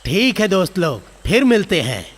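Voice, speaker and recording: A man as a high-voiced, friendly cartoon crocodile. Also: big pitch swings, normal speed. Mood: grateful. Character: hungry, polite male crocodile who loves fruit. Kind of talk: children's cartoon dubbing. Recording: loud music behind the voice.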